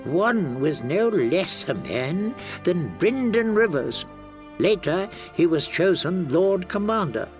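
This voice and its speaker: Slow, high-voiced ancient scholar. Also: male voice, sing-song, faint music behind, full character voice. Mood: wistful. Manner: slow, reminiscing. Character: very old frail maester, wistfully recalling his youth. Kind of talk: audiobook character acting.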